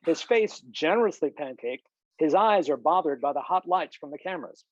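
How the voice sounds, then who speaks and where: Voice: medium pitch, expressive, moderate pace, no echo. A narrator reading screenplay stage directions in a scripted style over a video call. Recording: slightly dull video call audio.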